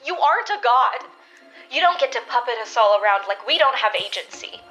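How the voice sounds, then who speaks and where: A fairly high-pitched superheroine, indignant and principled. She speaks emphatically and confrontationally, in audio drama style. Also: lively intonation, a little fast, fairly bright tone, faint music behind, low-quality recording. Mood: angry, resolute.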